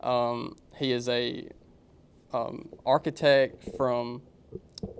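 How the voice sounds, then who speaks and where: A man's voice: medium pitch, level tone, very slow, clear, resonant tone. A young man with a Southern US accent, co-hosting a golf podcast, talking casually and rambling as he introduces a guest. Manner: rambling, restarts while introducing. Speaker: podcast co-host. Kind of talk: casual chat.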